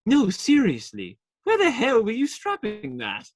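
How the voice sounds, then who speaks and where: A man plays a teasing outlaw in a radio play, tossing out cheeky banter with a widely swinging pitch. The delivery is unhurried and theatrical, recorded close and dry. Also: huge pitch swings, no echo, heavily acted. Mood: cheeky, incredulous.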